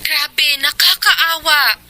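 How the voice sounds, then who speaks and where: A woman's voice: sits high, slow pace, sharp tone, full character voice. A woman playing an earnest, sympathetic young cousin in a Filipino radio drama.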